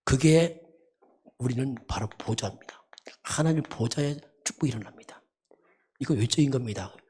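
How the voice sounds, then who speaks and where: A pastor giving a sermon in an emphatic, repetitive teaching manner, often posing rhetorical questions, with a husky voice. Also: medium pitch, normal speed, warm tone. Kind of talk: dawn service sermon.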